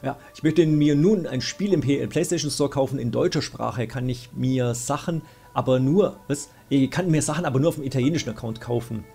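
A young man with a dark, gravelly voice hosts a casual question round from a small room, reading out viewer questions and answering with lots of fillers. The voice has a slightly husky edge, and faint music sits behind him. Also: medium pitch, a little fast, hardly any pauses. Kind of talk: casual chat. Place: small room indoors, at a desk.

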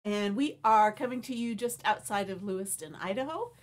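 A woman's voice: medium pitch, moderate pace. Chatty woman host with a stuffy nose. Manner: chatty, friendly, rambling with restarts. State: stuffy nose, sniffling from allergies. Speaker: craft show host. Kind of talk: live-stream craft demo chat. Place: home craft room, indoors.

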